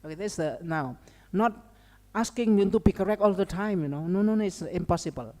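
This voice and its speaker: A woman's voice: lowish voice, lively intonation, brisk, darkish tone. A woman with an Indonesian accent giving an academic lecture as a seminar speaker, conversational, often checking in with short tags like okay and right. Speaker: seminar speaker and language educator. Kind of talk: academic lecture with informal asides. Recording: long hall reverb.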